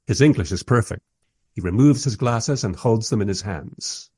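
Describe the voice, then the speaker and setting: A man playing a wry documentary maker, narrating a radio play in the first person with expressive, husky delivery. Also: fairly low pitch, moderate pace, dry recording, studio quality. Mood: observant, wry. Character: wry, enthusiastic documentary maker narrating his historical encounters.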